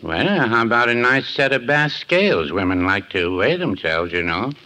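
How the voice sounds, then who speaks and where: An actor playing a mild older shopkeeper in a 1950s radio comedy, gently teasing in a prim, deadpan, hemming manner. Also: male voice, medium pitch, moderate pace, rough recording. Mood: helpful, prim.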